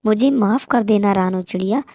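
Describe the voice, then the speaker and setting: A woman's voice: mid-range voice, moderate pace, mellow, no room sound, natural voice. A woman voicing a worried, polite male rabbit farmer in a cartoon moral story, pleading with friends. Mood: apologetic.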